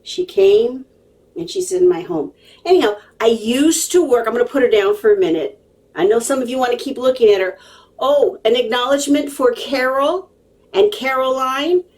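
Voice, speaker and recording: A woman's voice: high voice, animated, moderate pace, a little mellow, recorded from a distance. Chatty woman storyteller, slightly off-mic. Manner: chatty, emphatic, digressive, often checking in with listeners. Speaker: psychic medium telling a story to viewers. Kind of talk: casual, animated storytelling to camera.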